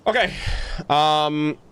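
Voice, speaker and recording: A man livestreaming, chatting casually with his viewers in a halting, thinking-aloud way. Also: fairly high pitch, vocal fry, a bit bright, smooth. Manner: casual swearing. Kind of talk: casual chat with stream viewers. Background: music.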